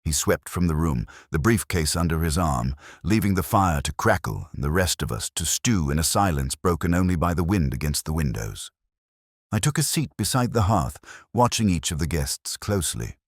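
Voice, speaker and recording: A man's voice: low-pitched, animated, moderate pace, dry recording, studio quality. A man reading a scripted detective story as an audiobook narrator, in the first person.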